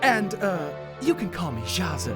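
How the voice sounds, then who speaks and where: A woman's voice: fairly low pitch, sing-song, a little slow, loud music behind the voice. Woman voicing Jase, a flustered, swooping-voiced teacher. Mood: flustered, friendly. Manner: awkward, nervous chuckles, hesitant. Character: flustered, eager gymnastics teacher and headmaster's aide, Jase. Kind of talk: fandub voice acting.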